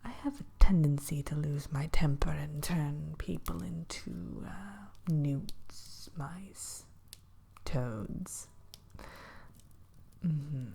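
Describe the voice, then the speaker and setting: Woman acting a haughty whispering witch, slow and breathy. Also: low-pitched, mellow, heavily acted. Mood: primly warning. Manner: prim, old-fashioned wording, theatrical sighs and hesitant pauses. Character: haughty, grudging witch innkeeper with a quick temper. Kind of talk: whispered ASMR roleplay.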